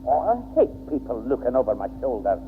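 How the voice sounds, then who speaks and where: A man's voice: mid-range voice, very animated, quick pace, soft background music, natural voice. Man playing a blustering Northern English grandad, swooping voice. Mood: exasperated. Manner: blustering, exasperated. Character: grumpy, easily exasperated old grandad. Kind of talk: 1960s British radio sitcom acting. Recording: muffled, noisy 1960s radio recording.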